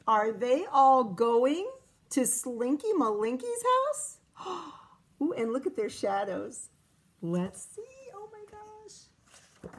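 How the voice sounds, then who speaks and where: A woman teacher reads a picture book to young children at an unhurried, deliberate pace. Her voice sits a little high. She stops often to ask questions, count along and point things out. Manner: expressive, asks questions and counts aloud with children. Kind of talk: children's read-aloud with commentary.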